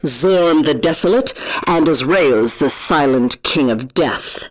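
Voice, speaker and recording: A woman's voice: low-pitched, lively intonation, slow, full character voice, low-quality recording. An actress voicing a stern female government agency director in a video game, blunt and intimidating, giving orders over radio-style briefings. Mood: threatening. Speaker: game character. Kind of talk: game voice lines.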